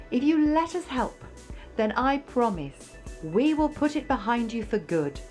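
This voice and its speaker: A woman's voice: on the high side, darkish tone. A woman acting an earnest, impassioned abuse support worker in a radio drama, speaking with pleading emphasis.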